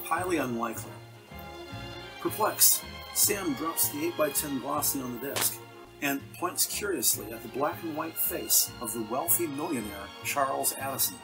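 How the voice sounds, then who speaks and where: A man's voice: medium pitch, expressive, normal speed, poor audio. A man reading scripted narration for a detective audio drama. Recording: music under the voice. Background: dramatic score music.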